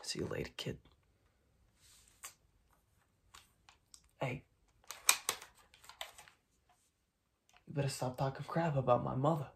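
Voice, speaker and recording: A young man playing a smug, menacing mob boss in an ASMR roleplay, speaking in halting phrases with a breathy, raspy voice that often drops to a whisper. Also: medium pitch, fairly even intonation, a little hiss or hum, lightly acted. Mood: dismissive. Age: young adult. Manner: slow, halting, repeats words, quietly intimidating. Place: dim room at home, indoors.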